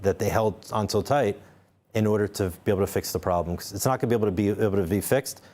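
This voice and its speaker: A man's voice: lowish voice, quick pace, darkish tone. A man speaking as a guest in a studio interview, restarting words often and then pressing his points with force. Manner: repeats words while searching for them, then speaks emphatically. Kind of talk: unscripted interview talk.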